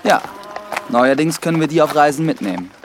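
A man's voice: a little high, animated, a little fast, background noise, natural voice. A man playing an earnest, dutiful young priest in a radio drama, speaking formally and precisely. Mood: courteous, formal. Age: young adult.

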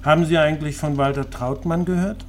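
An actor playing a cold, patronizing East German official in a radio crime drama, speaking in measured phrases. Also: male voice, on the high side, fairly even intonation, soft background music, natural voice. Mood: curt, scornful. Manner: measured, patronizing, drawn-out addresses. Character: stern East German official dismissing a man, coldly condescending.

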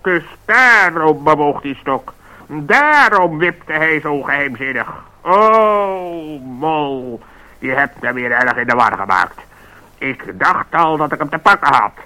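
A flustered, secretive bird for a children's radio play. The voice is bright, sharp and fairly high. He frets and corrects himself in exasperation. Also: lively intonation, takes it slowly, heavily acted, low-quality recording. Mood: fussy, flustered. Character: fussy, flustered, secretive male talking bird.

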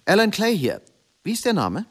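An actor playing a flustered, self-doubting middle-aged businessman in a radio play, halting and muttering, then brisk and impatient. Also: sits high, wildly swooping pitch, a bit bright, husky, exaggerated voice.

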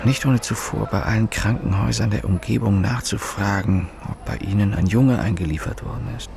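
An actor playing a weary, dismissive middle-aged police inspector in a radio drama, deadpan and brusque. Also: male voice, lowish voice, a little fast, vocal fry. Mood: musing, ironic. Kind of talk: radio drama acting with inner monologue.

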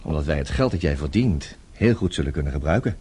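A man's voice: a bass voice, expressive, fast, a little mellow, husky, heavily acted. An actor playing a teasing, defensive man in a radio play, hesitant and bantering. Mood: playful, sheepish.

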